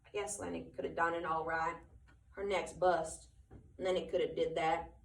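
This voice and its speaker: A woman reading aloud, voicing a calm ranch man who talks quietly and steadily. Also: lowish voice, normal speed, creaky. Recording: roomy, from far off.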